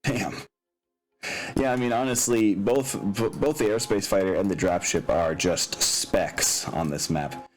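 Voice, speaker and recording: A man's voice: on the low side, measured pace. A man acting as game master, explaining tabletop mechanics casually and thinking aloud with some hesitation. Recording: music playing behind. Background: background music.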